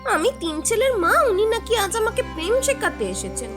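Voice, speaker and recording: An actress voicing a sharp-tongued married woman, a mother of three, in a radio drama, with music underneath. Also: very high-pitched, very animated, moderate pace. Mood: irritated. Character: older married woman, sharp-tongued elder sister-in-law.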